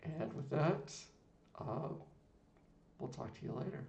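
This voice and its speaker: A man's voice: mid-range voice, animated, takes it slowly, gravelly, a little mellow, dull audio. A man hosting an online interview, speaking enthusiastically with frequent filler uhs. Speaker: podcast host. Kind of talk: wrapping up an interview. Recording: echoey room.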